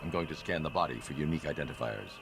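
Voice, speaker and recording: An actor playing a grim, driven vigilante detective in a video game, gravelly, clipped and grave. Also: male voice, rumbling low, animated, rapid-fire, exaggerated voice. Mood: grave, focused. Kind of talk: dramatic video game acting. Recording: voice under clear background music.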